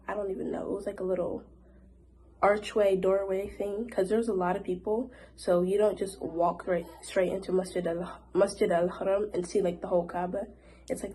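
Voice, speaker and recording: A woman's voice: medium pitch, dark tone, low-quality recording. A young woman vlogger chatting casually to camera in a Q&A, her voice a little off from a cold, with many fillers like "like". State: recovering from a cold, voice slightly off.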